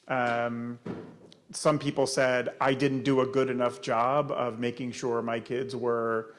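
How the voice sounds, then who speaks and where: A man presenting at a community panel, answering audience questions in a halting, explanatory way. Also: mid-range voice, level tone, slight background noise. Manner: explanatory, many fillers and restarts.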